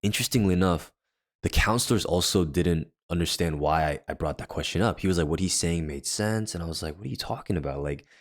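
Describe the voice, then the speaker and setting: A young man with a naturally deep, low-pitched voice tells a personal story on a casual faith podcast. He rambles and restarts, packing his sentences with fillers as he goes. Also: fast. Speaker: podcast co-host.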